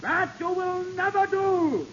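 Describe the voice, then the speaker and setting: An actor playing a deranged, cornered former surgeon in a 1940s radio drama, speaking in a theatrical, grandiose, agitated way. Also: male voice, extremely high pitch, expressive, slow pace, a bit bright, full character voice. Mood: grand, defiant. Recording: scratchy, dull 1940s radio recording.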